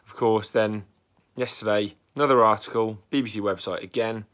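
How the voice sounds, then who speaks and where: A young man with a fairly low voice talks through his worries in a casual vlog. He sounds bunged up and a bit raspy from a cold, and clears his throat now and then as he rambles anxiously. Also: fairly even intonation, normal speed, fairly bright tone. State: congested and slightly raspy from a cold. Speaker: vlogger.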